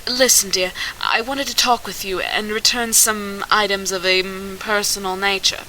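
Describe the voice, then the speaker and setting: A woman's voice: medium pitch, fairly even intonation, normal speed, pushed and bright, a little hiss or hum, natural voice, low-quality recording. A woman playing a fussy, motherly school nurse with a flustered, hesitant way of talking in an amateur audio drama.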